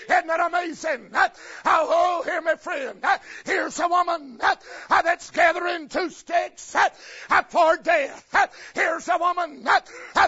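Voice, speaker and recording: Shouting preacher with a rhythmic uh. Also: male voice, extremely high pitch. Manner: shouted cadence, an uh after each phrase. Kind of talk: chanted revival sermon. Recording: lo-fi recording with faint congregation. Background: congregation responding.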